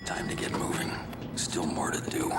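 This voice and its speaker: A man playing a grim, menacing vigilante in a video game, terse and deadpan, with a gravelly half-whispered rasp. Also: moderate pace, over loud music, natural voice. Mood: grim, cold. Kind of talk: video game cutscene acting.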